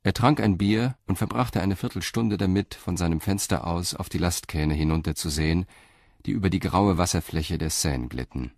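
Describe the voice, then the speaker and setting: A man narrating a radio crime play in a calm, measured storytelling manner. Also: deep voice, no echo, studio quality. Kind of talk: scripted audio drama narration.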